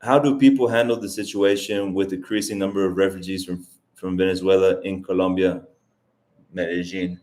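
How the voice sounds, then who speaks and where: A man around forty hosts a casual live Q&A, reading out viewer questions. His voice sits fairly low, and he rambles and restarts as he thinks things through aloud. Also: flat delivery, normal speed, a little mellow. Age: 40s. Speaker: livestream host. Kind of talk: casual livestream Q&A. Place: lives in Colombia as an immigrant.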